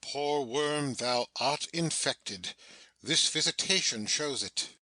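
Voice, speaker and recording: A man plays a knowing old magician watching over his child in a Shakespeare audiobook. The voice is bright and forceful, very dry and close, with slow, deliberate phrasing in fond asides. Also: mid-range voice, lively intonation, dry recording, exaggerated voice, low-quality recording. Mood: pitying, knowing. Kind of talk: dramatic Shakespeare audiobook reading.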